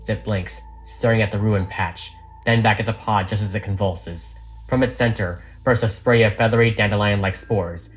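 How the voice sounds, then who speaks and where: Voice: low voice, steady tone, normal speed, low-quality recording. A narrator reading a script and its stage directions in a dramatic storytelling style. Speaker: narrator reading all parts of a fan audio drama. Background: background music.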